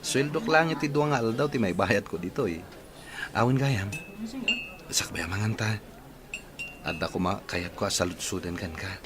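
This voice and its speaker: An actor with an Ilocano accent playing a tender, devoted young suitor in a radio drama, coaxing and earnest. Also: male voice, on the low side, expressive, moderate pace, long pauses, background noise, close to an everyday voice. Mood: earnest, pleading.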